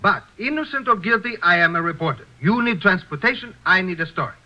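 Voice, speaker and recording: An actor playing a wry, pragmatic reporter with measured, formal phrasing in a radio adventure. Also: male voice, high voice, animated, pushed and bright, heavily acted. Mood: wry, calm. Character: wry, pragmatic foreign newspaper reporter, a man. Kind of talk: 1950s radio adventure acting. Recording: muffled old radio recording.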